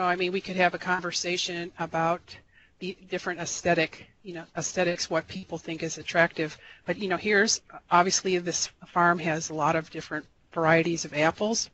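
A man giving a recorded slideshow lecture as an extension educator, relaxed and rambling, with many fillers and restarts. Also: high voice, moderate pace, muffled recording, slight background noise. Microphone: voice-over for slides.

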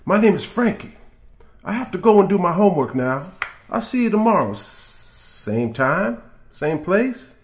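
A man voicing an eager, earnest young boy for a storybook reading, excited and pleading. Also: high-pitched, normal speed, voice pushed up. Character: eager young boy learning guitar, pleading with his mom.

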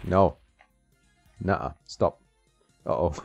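A man's voice: low-pitched, takes it slowly, darkish tone. A man in his thirties giving casual, joking commentary while he plays a game at home, laughing often and talking to the screen. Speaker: gameplay streamer. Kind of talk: casual let's-play commentary. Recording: slightly dull, dry sound with game music.

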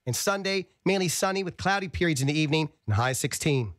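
A man's voice: on the high side, expressive, fast talker, very noisy. A man reading a scripted weather report on the radio. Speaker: weather forecast reader. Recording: loud music under the voice.

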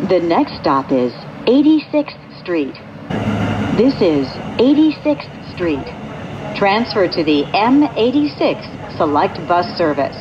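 A woman giving measured, formulaic recorded announcements as a subway train announcer. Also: on the low side, sing-song. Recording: dull recording with clear train noise. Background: subway vehicle noise.

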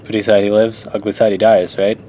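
A man's voice: on the low side, little pitch movement, a little fast, mellow, slight background noise, natural voice. A man voicing a smug male hired gunman with a mocking manner in a fan radio drama.

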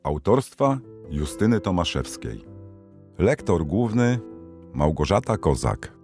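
A man's voice: deep voice, sing-song, slow, darkish tone. A man reading scripted credits as an announcer for an audio story. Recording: music behind the voice.

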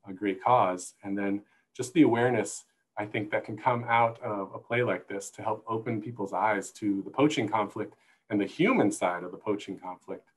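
A middle-aged man explaining things as a panel guest on a video call, in thoughtful, halting phrases, on an earbud mic. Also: on the low side, husky, rough recording. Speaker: guest, zoo conservation manager. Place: indoor room, video call. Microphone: wired earbuds headset.

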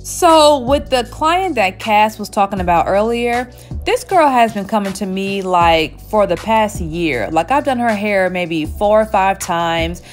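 A woman's voice: medium pitch, animated, unhurried, soft background music. A woman in her thirties, a hairstylist, chatting casually while seated in her small salon, telling a story with lots of laughs. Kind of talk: casual storytime while getting a wig installed. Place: small indoor hair salon. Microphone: camera at chest height, seated facing it.

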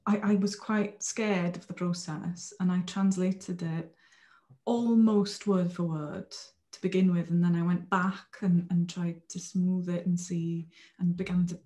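A woman's voice: lowish voice, steady tone, a little slow, dark tone. A Welsh woman in her thirties, a Welsh-first-language author, speaking thoughtfully and with hesitations on a video call from a small room at home. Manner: thoughtful, hesitant, repeats words as she thinks. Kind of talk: relaxed interview talk.

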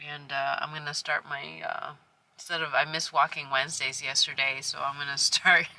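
A middle-aged woman chatting in a casual travel vlog, filmed on a phone held at arm's length, switching between hushed asides and excited bursts. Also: low voice, normal speed, no echo. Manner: chatty, excitable, shifts between hushed whispers and loud exclamations. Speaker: vlogger. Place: inside a minivan, then outdoors. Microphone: phone camera at arm's length.

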